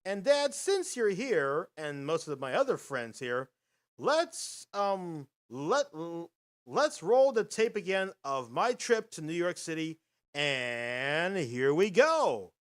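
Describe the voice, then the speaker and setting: A man's voice: a little high, sing-song, slow pace. A man hosting a casual video podcast, narrating footage in an upbeat, rambling announcer patter. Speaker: host.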